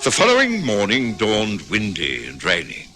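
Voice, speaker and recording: An actor playing a genial gentleman, companion and narrator in a radio detective drama. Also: on the low side, huge pitch swings, normal speed, soft background music, exaggerated voice. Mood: measured narration. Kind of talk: old-time radio drama acting, part narration.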